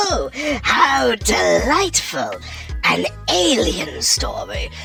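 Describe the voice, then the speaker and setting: A woman playing a theatrical, gleefully ominous hostess of Halloween horror tales, with drawn-out delivery and a creaky voice. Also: mid-range voice, huge pitch swings, bright, forceful tone, soft background music, exaggerated voice. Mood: delighted, menacing. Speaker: host. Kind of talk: theatrical horror anthology narration.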